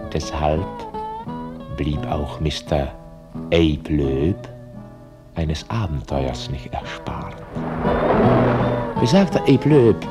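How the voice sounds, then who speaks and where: An actor as a wry, ironic storyteller narrating a German radio play with deliberate pauses. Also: male voice, fairly low pitch. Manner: dry irony, deliberate pauses.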